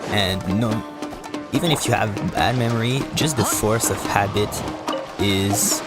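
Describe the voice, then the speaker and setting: A man casually commentating a live game stream, explaining things in a hesitant, halting, rambling way. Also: fairly low pitch. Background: music.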